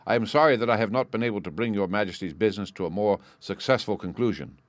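Male reader as a cool, formal detective; low, clipped, dry. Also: quick pace, no room sound, lightly acted. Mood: cold.

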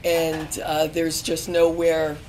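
A woman's voice: low voice, completely flat delivery, takes it slowly, a little mellow, slight background noise. A woman who is losing her voice, speaking earnestly as a panelist with fillers and restarts. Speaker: panelist, former student newspaper editor. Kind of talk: panel talk.

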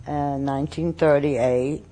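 An older woman from Austria recalls her past for an oral history interview. She talks slowly and haltingly, with frequent pauses and fillers. Her voice is warm and mellow. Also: sits low, fairly even intonation.

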